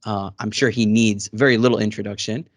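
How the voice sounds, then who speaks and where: A man's voice: fairly low pitch, steady tone, fairly quick, no echo, clean studio sound. A man hosting a casual interview over a video call, friendly and rambling with many fillers. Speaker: host. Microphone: studio microphone.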